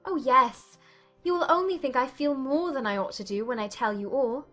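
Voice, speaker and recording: A woman's voice: high-pitched, moderate pace, bright, forceful tone, faint music behind. A woman playing a happy, playful young woman in love in a dramatized period audiobook. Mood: playful, confessing.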